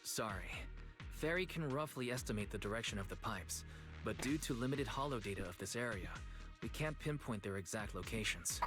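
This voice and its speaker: An actor playing an earnest, considerate young man in a video game, explaining things in a measured way. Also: lowish voice, expressive, close to an everyday voice. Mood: concerned. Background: loud game music.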